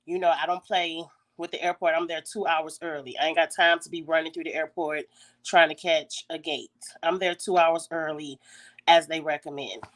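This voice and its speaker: Woman, raspy congested voice, clears her throat often, chatty storytelling, phone recording. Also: deep voice, steady tone, normal speed, a bit bright. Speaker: YouTube host. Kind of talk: casual story time.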